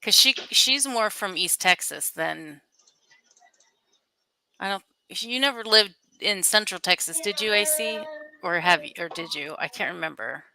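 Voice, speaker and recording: A woman's voice: lowish voice, lively intonation, measured pace, bright, forceful tone. A woman from California hosting a casual chat, explaining things at length with laughs along the way. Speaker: podcast host.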